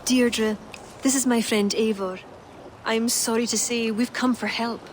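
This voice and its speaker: A woman's voice: a little high, fairly even intonation, unhurried, close to an everyday voice. An actress playing a loyal young woman guiding a friend, with an earnest, urgent way of talking. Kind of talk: video game dialogue. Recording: clear background noise.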